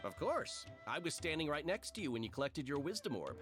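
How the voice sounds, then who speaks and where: A man voicing a courteous, theatrical tournament staffer in a video game, welcoming and flattering. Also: a little high, brisk. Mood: courteous, encouraging. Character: courteous, showy male tournament staffer, eagerly welcoming contestants. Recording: game music behind.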